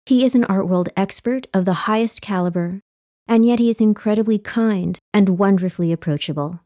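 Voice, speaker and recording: A woman's voice: mid-range voice, a little fast, mellow, no room sound, clean studio sound. A woman curator speaking as an interviewer, articulate and earnest in her delivery. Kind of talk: scripted introduction and conversational interview.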